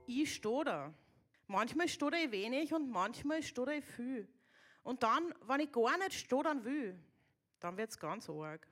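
A woman's voice: a little high, very animated, unhurried, a bit bright, voice pushed up, full character voice. A woman reading a dialect poem in the voice of a stuttering young child, with a Viennese accent. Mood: wry.

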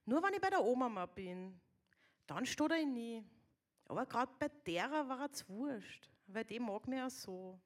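A woman's voice: on the high side, animated, moderate pace, natural voice. A woman reading a dialect poem in the voice of a stuttering young child, with a Viennese accent. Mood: wry.

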